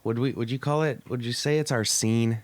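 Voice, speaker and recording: A man's voice: mid-range voice, steady tone, fairly quick, dry recording. A man from Oregon hosting a casual podcast, talking in a crude, profane and joking way. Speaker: podcast host.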